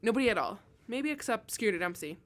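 A woman voicing a hard-boiled male police detective in an old-time radio drama, gruff, mocking and bullying. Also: medium pitch, animated, quick pace, lightly acted.

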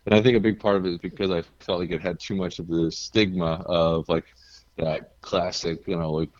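A deep-voiced man from New Jersey, a guest musician chatting casually and telling stories with easy laughs. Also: fairly even intonation, fairly quick, muffled recording. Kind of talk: casual interview chat.

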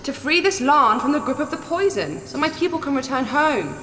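An actress playing a resolute, commanding warrior daughter with a stern, measured delivery in a sci-fi audio drama. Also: female voice, sits high, big pitch swings, bright, forceful tone, heavily acted. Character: resolute warrior daughter defying her tyrant father. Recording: echoey hall reverb, faint noise.

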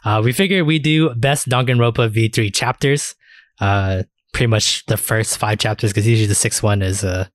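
A man's voice: lowish voice, fairly even intonation, moderate pace, dry recording, clean studio sound. A man hosting a podcast in a casual, chatty style. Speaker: podcast host. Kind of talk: casual chat.

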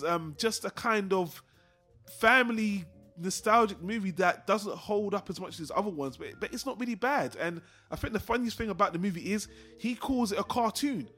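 A man's voice: high voice, fairly bright tone. A man co-hosting a casual film discussion, rambling and repeating himself for emphasis. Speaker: podcast co-host.

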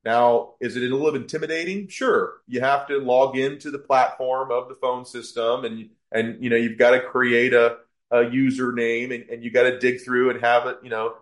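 A man's voice: mid-range voice, steady tone, moderate pace, hardly any pauses. Man explaining as an interview guest. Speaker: podcast guest, business owner.

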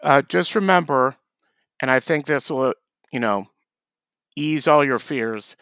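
A man co-hosting a podcast, delivering deadpan dry jokes in casual comedic chat. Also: mid-range voice, measured pace, no echo. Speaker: podcast co-host.

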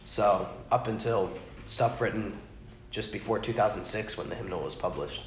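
A man whose voice is hoarse and nearly gone teaches an informal church class, speaking quietly and rambling with many restarts. The recording is muffled and roomy, with a faint hiss behind him. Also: on the low side, moderate pace. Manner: rambling, self-correcting, talks softly. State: hoarse, lost his voice. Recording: muffled, echoey room with faint noise.

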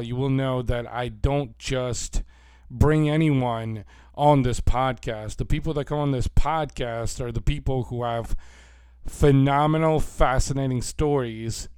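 A man hosting a podcast with a hoarse voice, blunt and crude-spoken, in casual chat. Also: medium pitch, steady tone, a little slow, a little mellow, no echo. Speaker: podcast host. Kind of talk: casual podcast intro with profanity.

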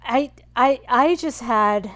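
A woman co-hosting a podcast in casual chat, talking haltingly with many ums and restarts. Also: fairly high pitch, fairly bright tone. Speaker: podcast co-host.

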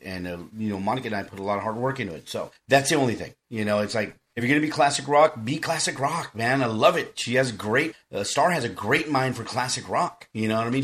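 A man's voice: on the low side, fairly bright tone. A man from South Texas hosting a podcast with casual chat and music news, speaking in an earnest, informal and apologetic way. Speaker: podcast host.

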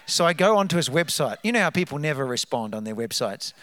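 Animated New Zealand man at the pulpit. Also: fairly high pitch, quick pace, a little hiss or hum. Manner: animated, hypes up the crowd, trails off into asides. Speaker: guest speaker. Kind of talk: church testimony talk. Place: church sanctuary.

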